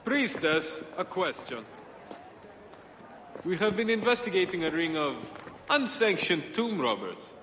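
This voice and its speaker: A menacing game investigator with mock courtesy. His delivery is slow and theatrical, with very lively intonation. The voice rings in an echoing space. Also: high-pitched, heavily acted. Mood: smug, threatening. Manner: deliberate, theatrical, insinuating. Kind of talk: video game cutscene acting. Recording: echoey hall with faint noise.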